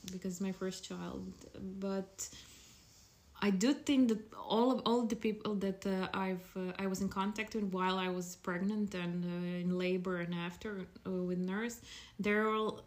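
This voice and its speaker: A woman's voice: medium pitch, fairly even intonation, moderate pace, a little mellow. Hesitant Croatian woman in her thirties. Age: 30s. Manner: hesitant, many fillers and restarts. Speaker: podcast guest. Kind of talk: casual interview chat.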